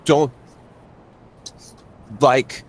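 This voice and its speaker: A man voicing a distressed man who stammers and struggles to get his words out in a tabletop game. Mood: anxious, struggling. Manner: halting, stuttering, struggling to speak. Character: distressed stammering wheelchair-bound man warning a traveller.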